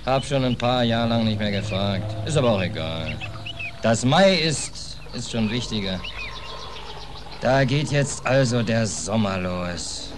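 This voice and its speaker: An actor in an old radio play, playing a down-and-out stateless American sailor who talks in a colloquial, sardonic grumble. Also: male voice, medium pitch, animated, measured pace, lightly acted. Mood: casual, wry. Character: down-and-out stateless American sailor, stubborn, wry drifter. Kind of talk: 1940s German radio play acting. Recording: noisy old radio recording, harbour ambience.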